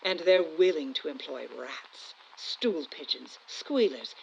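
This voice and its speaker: A woman's voice: sits low, expressive, slow, gravelly, breathy edge, heavily acted, low-quality recording. A woman playing a defiant, sardonic fifties film actress giving an oratorical speech at a hearing. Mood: defiant, scornful. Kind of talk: theatrical audio drama speech.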